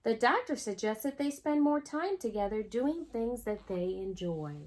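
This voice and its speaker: A woman's voice: fairly high pitch, lively intonation, measured pace, a little mellow. A woman hosting a children's storytime, reading a picture book aloud expressively and speaking directly to young listeners. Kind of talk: storytime read-aloud with asides to young viewers.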